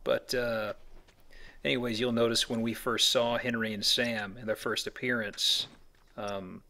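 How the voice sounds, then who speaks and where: A man's voice: mid-range voice, little pitch movement, measured pace, pushed and bright. A man hosting a let's play with casual, explanatory game commentary and a joking streak. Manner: explanatory, jokey, voices paraphrased quotes. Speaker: let's play host and guide.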